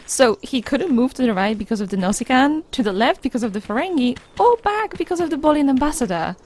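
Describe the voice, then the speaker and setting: A woman playing a bubbly, supportive starship crewmate in an audio drama, husky and reassuring with a playful streak. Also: high voice, very animated, fast talker, a little mellow, a little hiss or hum. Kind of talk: audio drama acting, casual chat.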